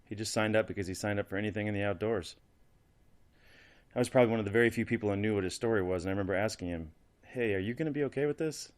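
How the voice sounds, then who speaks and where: A man's voice: fairly low pitch, racing, natural voice. A man playing a plain-spoken church counselor giving a conversational, matter-of-fact interview in an audio drama. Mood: matter-of-fact, reflective.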